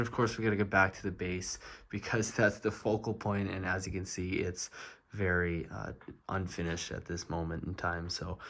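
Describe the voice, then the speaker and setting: Congested man narrating a LEGO build. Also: low-pitched. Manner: relaxed and rambling, frequent "obviously" and "uh". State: congested, stuffed-up nose from a cold. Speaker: LEGO builder and channel host. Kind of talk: casual build-log narration.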